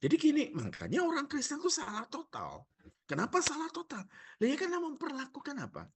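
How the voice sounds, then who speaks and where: A man's voice: very high-pitched, huge pitch swings, brisk, a little hiss or hum. A male pastor with a Javanese accent preaching a sermon, asking the congregation rhetorical questions and joking.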